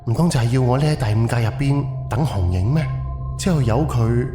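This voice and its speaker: A man's voice: medium pitch, fairly quick, natural voice. A man playing a wary, analytical haunted-house investigator thinking aloud in an audio drama, with a musing, questioning delivery. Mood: tense, questioning. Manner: musing, questioning, muttered self-talk. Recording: echoey reverb, music underneath. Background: background music.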